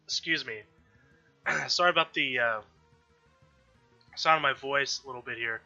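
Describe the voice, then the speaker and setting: A man's voice: mid-range voice, normal speed, pushed and bright. A man with an allergy-stuffed, slightly nasal voice, casually chatting through a game he is playing as host of a let's-play video. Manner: chatty, frequent self-corrections and throat clearing. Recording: dull lo-fi audio with faint background music.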